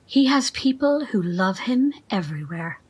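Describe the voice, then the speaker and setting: An actress playing a fanatical cult assistant in an audio drama, measured, calmly menacing and reverent, with a breathy, husky voice. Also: female voice, medium pitch. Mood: calm menace. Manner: measured, veiled threats, reverent.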